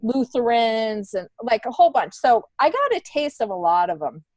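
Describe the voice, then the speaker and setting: A woman's voice: fairly high pitch, very animated, moderate pace, a little mellow. A middle-aged woman, a podcast guest, telling stories in a casual, chatty, meandering way. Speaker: podcast guest, stand-up comedian. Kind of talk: casual conversational storytelling.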